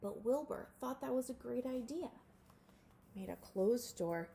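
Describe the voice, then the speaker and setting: Slow-paced woman reading to children. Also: fairly high pitch, gravelly, slight background noise. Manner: animated, with asides to listeners. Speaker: storybook reader. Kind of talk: expressive read-aloud for children.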